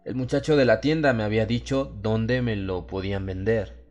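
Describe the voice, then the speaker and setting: A man with a fairly low voice reads a horror tale as an audiobook narrator. His delivery is measured, literary and dramatic. Faint music plays underneath him. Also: steady tone, a little mellow, lo-fi sound.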